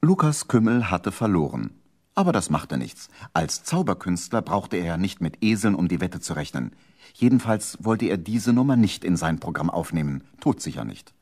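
A man's voice: deep voice, big pitch swings, normal speed, darkish tone, heavily acted. An actor playing the wry male narrator of a 1970s children's radio play, telling the tale dryly.

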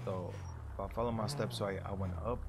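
A man's voice: fairly low pitch, fairly even intonation, fast talker, creaky, heavy background noise. A young man bantering casually in character during an online roleplay game, slangy and profane, repeating his phrases.

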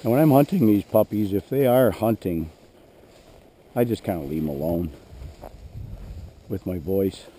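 A man with a hoarse voice, narrating plainly as he trains a dog in an open field, recorded on a head-mounted action camera. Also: fairly low pitch, measured pace, pauses often, warm tone, a little hiss or hum. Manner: plain, matter-of-fact, clears throat often. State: hoarse, losing his voice. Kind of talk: narrating a hunt while commanding a dog. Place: open grassy field outdoors.

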